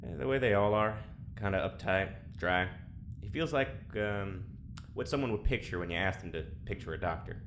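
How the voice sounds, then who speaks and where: A deep, low male voice plays an awkward prisoner in space for an audio drama. He rambles hesitantly with dry, deadpan sarcasm and a natural delivery. Clear ambient noise sits behind him. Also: moderate pace. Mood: dry, wary.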